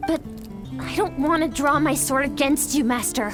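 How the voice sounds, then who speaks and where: A woman's voice: sits high, moderate pace, natural voice. A woman playing a stoic, driven young sword disciple in a video game, restrained and solemn. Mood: sorrowful, submissive. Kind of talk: dramatic video game acting. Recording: long reverb, background music.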